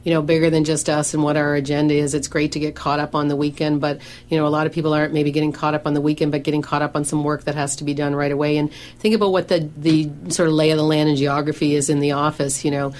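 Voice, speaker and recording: A woman's voice: low voice, flat delivery, fast talker, hardly any pauses, darkish tone, a little hiss or hum. A middle-aged woman, a professional organizer and coach, talking on a radio show with a big voice that carries and lots of "you know" fillers. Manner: says she has a big voice that carries; conversational, many fillers and restarts.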